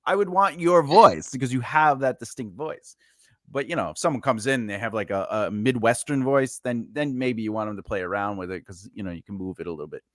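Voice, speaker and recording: A man's voice: medium pitch, a little fast, no echo, clean studio sound. A man chatting casually on a livestream, explaining things at length and cracking jokes. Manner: animated, explains at length, jokey.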